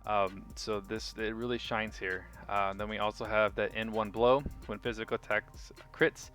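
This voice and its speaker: A man's voice: fairly low pitch, flat delivery, moderate pace, a bit bright, faint music behind. A man giving a casual game guide commentary as a video host, chatty and informal, thinking aloud as he goes.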